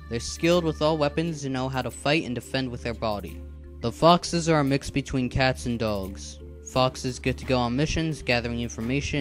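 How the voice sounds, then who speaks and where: A man playing a patient, earnest animal assassin mentor in an animated drama, explaining things in a measured, instructive way. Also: mid-range voice, natural voice. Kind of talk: scripted animated drama dialogue. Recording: background music under the voice.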